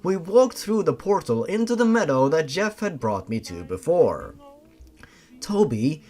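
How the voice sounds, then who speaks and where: A man's voice: a little high, very animated, moderate pace, a little mellow. A man giving a scripted first-person narration of a fan-fiction style story.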